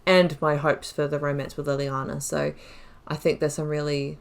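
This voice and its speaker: A woman with a low-pitched voice narrates her own game playthrough. She is casual and chatty, musing out loud as she works through her thoughts. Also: little pitch movement, moderate pace, gravelly. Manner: chatty, musing, thinking aloud. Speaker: gaming YouTuber. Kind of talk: casual let's play commentary.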